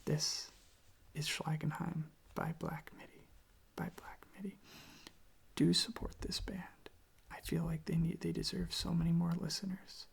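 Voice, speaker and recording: This is a soft-spoken ASMR host talking in a casual, unhurried ramble. The voice is extremely breathy and husky, often dropping toward a whisper, with heavy crackle in it. The delivery is gentle, hesitant and a little self-deprecating. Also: mid-range voice, flat delivery. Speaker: host of a relaxation channel showing records.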